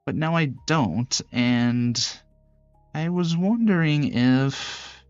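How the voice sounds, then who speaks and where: A man's voice: a little high, drawn-out words, darkish tone, clean tone, no room sound, muffled recording, soft background music, voice pushed up. A man voicing a shy, sweet, apologetic young woman in a visual novel, bashful and rambling. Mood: nervous, sheepish. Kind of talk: visual novel character voice acting.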